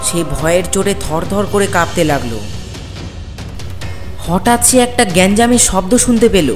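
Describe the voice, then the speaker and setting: A man narrating a scripted horror story for an animated tale. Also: high voice, normal speed. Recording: long hall reverb under clear music.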